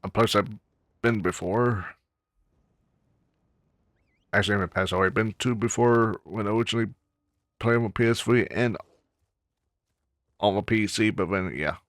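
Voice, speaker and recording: A man giving casual, halting gameplay commentary, muttering as he plays. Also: on the low side, fairly bright tone, no echo, clean studio sound. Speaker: gamer recording a playthrough.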